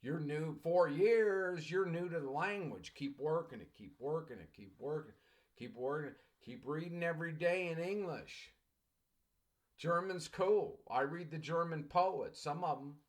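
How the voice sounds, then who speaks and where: A man's voice: on the high side, unhurried. A man teaching a college English class in a conversational, repetitive lecture, often addressing the class directly.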